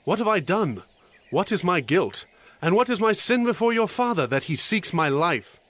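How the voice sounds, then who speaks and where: An actor playing an earnest, pleading young fugitive in a dramatized scripture recording. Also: male voice, sits high, very animated, normal speed, a bit bright, no room sound, heavily acted. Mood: anguished. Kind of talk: dramatized scripture audio acting.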